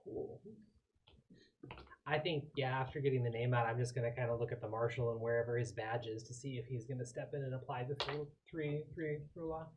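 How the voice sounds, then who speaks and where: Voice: mid-range voice, fairly even intonation, a little fast, muffled recording. A tabletop roleplaying player talking casually and informally during the game. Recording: faintly noisy room recording.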